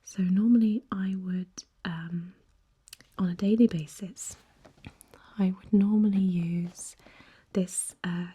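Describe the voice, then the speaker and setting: A young woman speaking very softly in a gentle, halting ASMR makeup chat, her whispery voice slightly croaky and hoarse after an illness. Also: medium pitch, fairly even intonation. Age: young adult. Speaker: ASMR vlogger.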